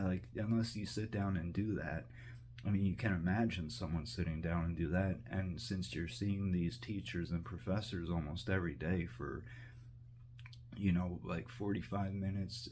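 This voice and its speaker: A man's voice: low-pitched, fairly even intonation, normal speed, darkish tone, slight background noise. A man talking casually to the camera in a meandering way, full of fillers and restarts, while cooking in his kitchen. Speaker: vlogger. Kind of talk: rambling casual monologue.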